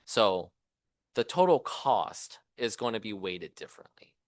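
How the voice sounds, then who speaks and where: A man giving an engineering seminar talk as a professor, in a conversational, animated manner with rhetorical questions. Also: lowish voice, a little slow, dry recording, studio quality. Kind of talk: engineering lecture. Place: seminar room at a university.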